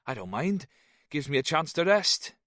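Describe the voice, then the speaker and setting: A jovial, leering Welsh teacher in his fifties, as a male narrator puts him on, with a lilting, slow Welsh accent pitched well above the narrator's own voice. He sounds lively and loud, like a man a few pints in. The sound is close and dry. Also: dry recording.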